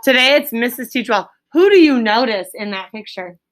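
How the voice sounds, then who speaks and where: A woman's voice: fairly high pitch, lively intonation, moderate pace, crowd noise. A woman teacher reading aloud to her class in an instructive way, stopping to prompt pupils with check-in questions. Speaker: elementary school teacher.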